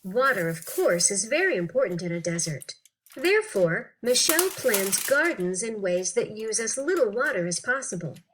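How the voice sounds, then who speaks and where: A woman reading an interview text aloud for children in an animated, clearly phrased, teacherly way. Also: medium pitch, normal speed, noisy background. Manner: animated, clearly phrased, acting out questions and answers.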